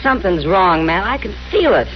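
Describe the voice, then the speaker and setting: A woman's voice: medium pitch, big pitch swings, moderate pace, natural voice. An American woman playing a worried, plain-spoken frontier saloon woman in an old-time radio western, direct and insistent. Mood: uneasy, insistent. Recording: muffled, noisy vintage radio recording.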